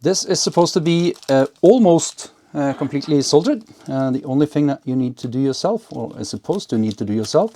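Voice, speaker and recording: A man's voice: on the high side, lively intonation, fairly quick, hardly any pauses, mellow. A man giving a casual hands-on demonstration, thinking aloud hesitantly as he works. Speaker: host of an electronics and ham radio build video.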